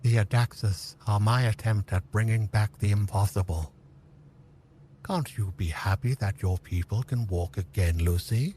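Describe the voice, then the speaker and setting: A man's voice: on the low side, a little slow, mellow, slight background noise, close to an everyday voice. A man playing a lonely, regretful old man who bends time, speaking solemnly in an audio drama. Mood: defensive, lonely. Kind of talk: scripted audio drama acting.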